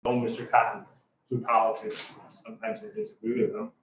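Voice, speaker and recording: An older man, a lawyer, rambles through his comment, halting and restarting. His voice is mid-pitched. It is heard from a distance in an echoing council chamber, with a faint hiss under it. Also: sing-song, creaky, a bit bright. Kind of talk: unscripted public comment.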